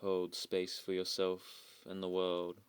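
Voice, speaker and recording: A man delivering a dramatic spiritual monologue in solemn, deliberate short declarative phrases. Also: low voice, monotone, smooth, no echo.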